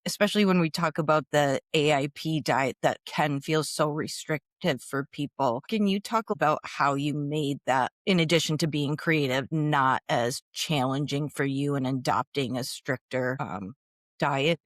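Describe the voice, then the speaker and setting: Podcast host: a man with a higher-pitched voice, measured and thoughtful. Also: fairly bright tone, dry recording, clean studio sound. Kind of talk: casual interview questions.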